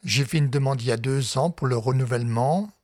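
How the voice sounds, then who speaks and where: Husky-voiced man, a radio guest. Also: mid-range voice, brisk, dry recording. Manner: relaxed, conversational, short agreeing replies. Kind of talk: radio talk show discussion. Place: radio studio.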